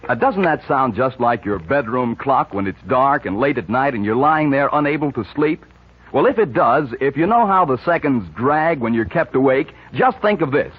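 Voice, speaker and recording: A man's voice: medium pitch, lively intonation, moderate pace, slightly rough. A man working as a radio announcer, reading introductions and sponsor copy in 1940s style. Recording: dull, hazy 1940s radio broadcast.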